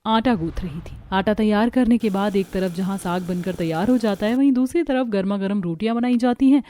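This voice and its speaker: A woman's voice: medium pitch, brisk, warm tone. A woman reading scripted narration for a cartoon family story.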